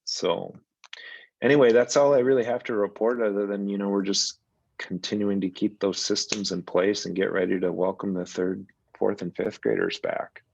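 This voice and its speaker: Gravelly low-voiced man on a call. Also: normal speed, highs cut off. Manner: relaxed, rambling with fillers. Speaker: school principal. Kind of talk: meeting report. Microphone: video call.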